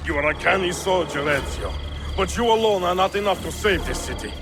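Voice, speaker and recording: An actor playing a bitter, proud, disillusioned traitor soldier in a video game, declamatory and impassioned. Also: male voice, high-pitched, animated, brisk, over music. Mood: taunting. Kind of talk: dramatic video game acting.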